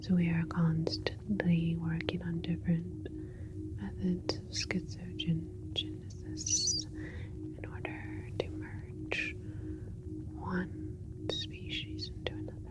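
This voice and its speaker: A woman playing a soothing, clinical alien scientist in an ASMR roleplay, whispering with slow, deliberate, precise wording. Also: on the low side, lively intonation, loud music behind the voice. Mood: calm, clinical.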